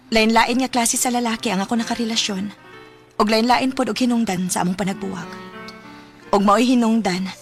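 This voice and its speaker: A woman's voice: a little high, close to an everyday voice. An actress playing a heartbroken, pleading young woman in a radio drama, with a Cebuano accent. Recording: music bed behind the voice.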